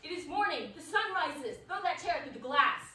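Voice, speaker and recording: A woman's voice: sits high, expressive, moderate pace, bright, forceful tone. Woman playing stern urgent vampire hunter professor, radio drama, echoey, bit far from mic. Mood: urgent, commanding. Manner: urgent, authoritative, formal. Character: commanding, solemn vampire-hunting professor. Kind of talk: amateur radio play acting. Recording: roomy, distant, faintly noisy stage recording.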